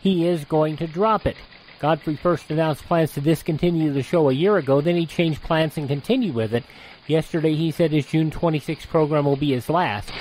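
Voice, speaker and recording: A man reading news bulletins in a crisp announcer style. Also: a little high, fairly quick, a little mellow, a little hiss or hum, poor audio. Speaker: newsreader.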